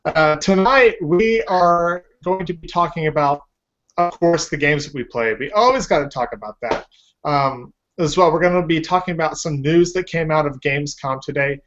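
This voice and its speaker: A man's voice: on the high side, moderate pace, fairly bright tone. A man hosting a casual podcast, chatty and upbeat, with fillers. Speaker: podcast host. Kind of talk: casual chat. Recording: lo-fi podcast audio.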